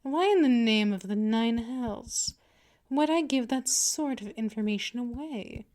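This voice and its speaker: A woman playing a dominant, patient female vampire mistress in an ASMR roleplay, teasing, menacing and condescending in a deliberate delivery. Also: a little high, lively intonation, darkish tone. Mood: stern, threatening. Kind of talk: ASMR roleplay, scripted monologue.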